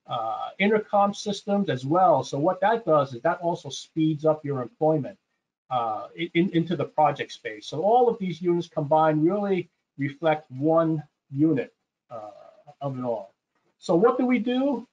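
Male webinar presenter, fairly high voice, conversational and explanatory, slightly muffled lo-fi sound. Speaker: sales presenter hosting a product webinar. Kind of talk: informal slide presentation to an online audience. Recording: dull, muffled webinar audio.

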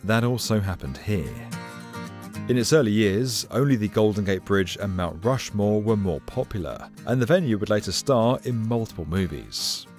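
A man's voice: fairly low pitch, animated, moderate pace, a little mellow, faint music behind. A British man in his thirties giving upbeat, scripted documentary narration, recorded in a home studio on a lapel mic. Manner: clear, upbeat explainer delivery. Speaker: channel presenter.

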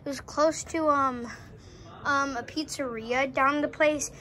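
A woman's voice: high voice, steady tone, unhurried, pushed and bright. A female performer voicing a scrappy, homeless junkyard animatronic with a casual, rambling way of talking in a toy drama. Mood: forlorn, casual. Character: scrappy, down-on-its-luck junkyard animatronic looking for a home.

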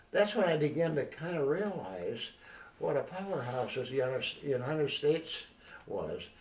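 An elderly man in an oral history interview, recalling his past in a halting, rambling way with many restarts. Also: medium pitch, darkish tone. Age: older. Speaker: veteran interviewee. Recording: roomy, distant mic.

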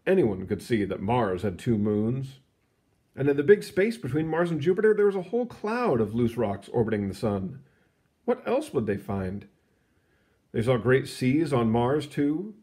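A man narrates a children's science book with expressive, explanatory delivery. His pitch moves around a lot, lively and varied. The tone is mellow and relaxed, with a husky edge. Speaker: read-aloud narrator. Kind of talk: reading a children's science book aloud, with short asides.

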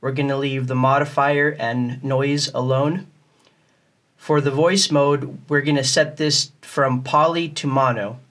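A man's voice: mid-range voice, steady tone, measured pace, fairly bright tone. A man giving a casual, step-by-step tutorial in a plain, methodical way. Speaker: music tutorial host.

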